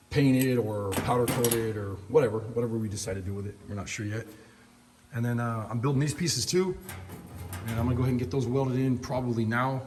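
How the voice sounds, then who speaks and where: A middle-aged man with a hoarse voice talks loosely through his work, heavy on fillers and plain shop talk. His voice carries a long reverb off the hard walls of a big metal shop, with a faint hum of background noise. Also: lowish voice. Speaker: host. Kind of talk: casual shop walkthrough. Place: large metal fabrication shop, indoors. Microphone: phone camera held in hand.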